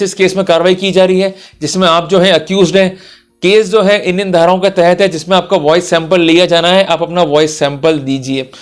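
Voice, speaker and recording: High-pitched man lecturing on camera. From India. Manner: emphatic, instructive, addresses listener directly. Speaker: lawyer giving a law lecture. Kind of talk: explanatory legal lecture for online viewers.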